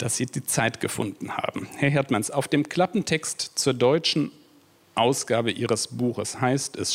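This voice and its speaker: A man from Germany, a journalist moderating a panel discussion, who introduces the guest formally and in measured phrases. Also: mid-range voice.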